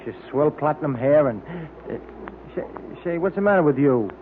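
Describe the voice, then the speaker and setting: A man's voice: fairly high pitch, lively intonation, normal speed, close to an everyday voice. Guy doing informal plain-talking sidekick, old radio crime drama, thin narrow sound with a bit of hiss. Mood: cheerful, chummy. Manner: slangy, informal. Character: breezy, plain-spoken male detective's sidekick. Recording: tinny, hissy old-time radio recording.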